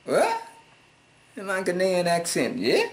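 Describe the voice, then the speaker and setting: A man's voice: sits high, wildly swooping pitch, slow pace. A middle-aged American man reading viewer comments aloud and answering them in a laid-back, amused and sarcastic way. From United States. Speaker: vlogger.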